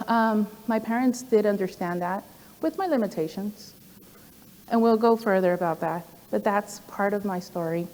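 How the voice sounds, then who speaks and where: A woman in her forties with a mid-range voice tells her story to an audience in a conversational way. She often pauses on "um" and drifts into asides. Her voice rings out through the long reverb of a large hall. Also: medium pitch. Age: 40s. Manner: conversational, with frequent "um" fillers and asides. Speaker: program director giving a talk. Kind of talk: personal presentation to an audience.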